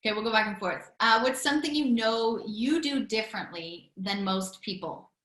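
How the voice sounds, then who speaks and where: A woman hosts an interview with upbeat enthusiasm, laughing often and answering her guests with quick affirmations. Her voice is bright and forward, and the room around her rings with noticeable echo. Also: a little high. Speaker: podcast host.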